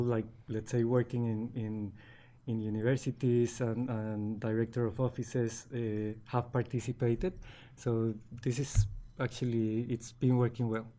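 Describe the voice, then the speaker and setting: A man's voice: mid-range voice, mellow. A man in his forties from Colombia with a Colombian Spanish accent, a guest in a casual interview who often restarts his words. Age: 40s.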